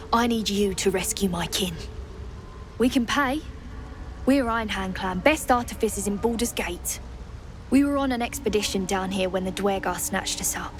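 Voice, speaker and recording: An actress playing an injured, earnest dwarf artificer in a fantasy game, blunt and weary in manner. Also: female voice, mid-range voice, natural voice.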